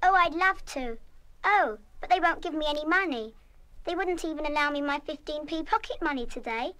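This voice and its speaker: Spoilt little british girl, defiant, high bright voice, old radio play. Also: animated, fairly quick. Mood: eager, wistful. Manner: stubborn, emphatic, petulant. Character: headstrong, defiant young schoolgirl, spoilt and proud. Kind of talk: full-cast radio play acting.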